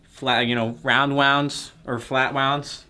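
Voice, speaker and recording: A young man, a bassist and co-producer, talking easily with lots of fillers in a small recording studio. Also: level tone, slow, sharp tone. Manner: easygoing, lots of "like" and "you know". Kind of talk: casual studio chat.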